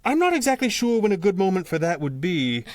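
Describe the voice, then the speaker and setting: An actor playing a calm, principled masked hero in a 1930s-style radio drama, with measured and formal diction. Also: male voice, high voice. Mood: wry, relaxed. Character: calm, principled masked crime-fighting hero, adult man.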